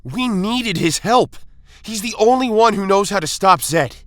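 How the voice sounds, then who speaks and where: An actor playing an earnest, pleading young hero leader in an audio drama. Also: male voice, high-pitched, animated, unhurried, a bit bright, dry recording, clean studio sound. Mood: urgent, pleading.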